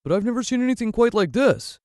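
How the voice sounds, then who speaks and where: Puzzled dad for a storybook, played by a woman: gruff muttered grumbles, very animated, close dry sound. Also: fairly high pitch, fast, dry recording, pitched above the performer's own voice. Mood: puzzled grumbling.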